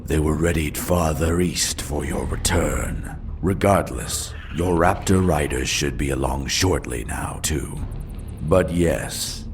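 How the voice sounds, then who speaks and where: A man voicing a menacing dark elf raider lord in a fantasy audiobook, commanding and measured, with a resonant voice. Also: faint music behind, heavily acted. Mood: coldly commanding. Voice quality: deep, resonant.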